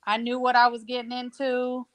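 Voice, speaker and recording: A woman's voice: on the high side, monotone, moderate pace, clear, resonant tone. A woman from Ohio in a casual podcast chat, loosely telling about her stage role, laughing often. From Ohio, United States. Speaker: podcast guest, cast member.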